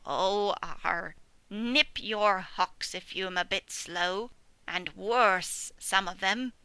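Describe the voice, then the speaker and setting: A woman voicing a mournful, ailing old ewe in a storybook, with a slow, breathy, drawling way of talking. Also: mid-range voice, dry recording, full character voice. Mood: grumbling. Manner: slow, drawling rustic speech with soft breathy murmurs. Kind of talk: storybook reading.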